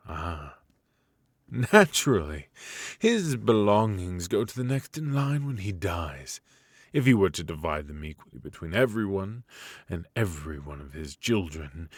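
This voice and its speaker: A man playing a bitter, haughty royal mage in an intimate fantasy ASMR roleplay, speaking in a measured, aristocratic, dryly mocking way. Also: low voice, breathy edge, no room sound, exaggerated voice. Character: bitter, sardonic, haughty royal mage passed over for succession. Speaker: amateur voice actor.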